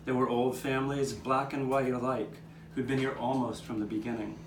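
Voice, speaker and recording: A man playing an old street cat who reminisces in a measured, reflective way, as scripted narration for a live puppet show. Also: mid-range voice, level tone, lightly acted. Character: old male street cat, reminiscing storyteller with nine lives. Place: outdoor courtyard. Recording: distant, echoey live taping with background noise.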